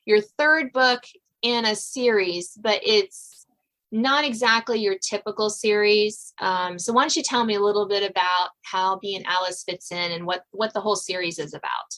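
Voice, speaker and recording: A woman's voice: mid-range voice, normal speed, a bit bright, rough recording. A woman hosting a podcast interview, talking in a chatty, laughing way with many fillers. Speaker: podcast host. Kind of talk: casual interview chat.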